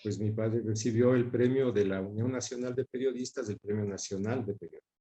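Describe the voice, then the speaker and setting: A man in his sixties with a Quito, Ecuadorian accent, talking reflectively as an interview guest, measured, pausing and repeating words as he searches for them. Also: on the low side, steady tone, mellow, muffled recording. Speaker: interview guest recalling his father's career.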